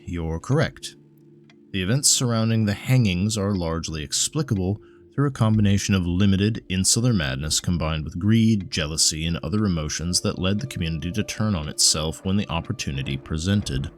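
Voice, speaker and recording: A man voicing a bookish, earnest occult scholar in an audio drama, explaining things in a measured, pedantic and faintly exasperated way. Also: low voice, lively intonation. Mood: patient lecturing.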